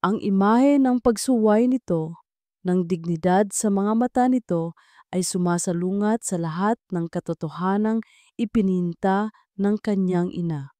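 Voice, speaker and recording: A woman narrates a dramatic story from a script. Her voice is soft-edged and mellow, with a middle pitch, and the recording is very dry and close, with no room sound. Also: measured pace, no echo.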